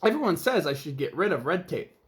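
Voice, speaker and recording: A man's voice: a little high, very animated, a little fast, fairly bright tone. A man voicing a cheerful, literal-minded woman in a children's storybook, earnest and emphatic. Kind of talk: children's storybook read-aloud.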